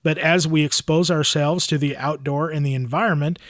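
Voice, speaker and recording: American male podcast host, higher-pitched voice, dry sound. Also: steady tone, normal speed, a bit bright, no room sound. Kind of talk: podcast announcements and interview.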